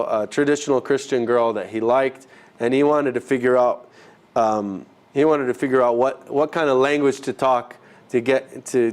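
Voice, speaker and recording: A man leads a live group session in an easy, unscripted way. His pitch sits in a middle range for a man, and he often stops and rephrases mid-sentence as he works out his points. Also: a little mellow. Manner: conversational, halting, rephrasing as he goes. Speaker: ministry trainer teaching a group. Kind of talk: informal classroom teaching.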